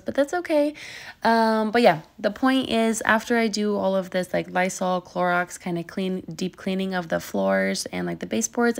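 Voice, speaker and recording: A young woman talks casually to her viewers, her speech peppered with fillers. Her voice has a gravelly, fry-edged texture at a middle pitch. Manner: chatty, many fillers like "like" and "um". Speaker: vlogger. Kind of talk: casual talk to camera.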